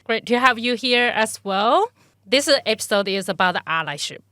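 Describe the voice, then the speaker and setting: A woman hosting a podcast, reading a formal introduction and asking guests measured questions. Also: on the high side, animated, sharp tone, no room sound, clean studio sound. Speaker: podcast host. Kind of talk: podcast interview, scripted intro.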